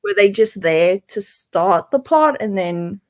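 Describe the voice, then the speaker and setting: A woman chats casually as co-host of a book show, with a middling pitch. Her phrasing is informal and a little hesitant, and her thoughts tend to trail off. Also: expressive, slow pace. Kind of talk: casual book discussion chat.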